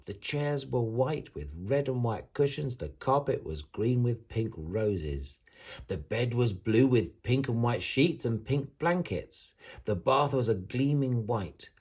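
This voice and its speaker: A man reading a children's picture book aloud as an expressive, dramatic bedtime story narration, with a husky voice. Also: mid-range voice.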